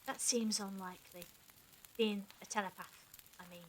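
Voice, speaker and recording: A woman playing a level-headed, sceptical captive in a fan audio drama, with a measured, questioning manner. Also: mid-range voice, fairly even intonation, slight background noise, natural voice, rough recording. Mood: reasoning.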